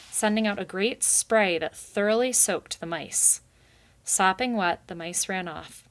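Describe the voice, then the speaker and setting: A woman's voice: lowish voice, fairly bright tone. A woman narrating a children's story as she reads it aloud. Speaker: reader.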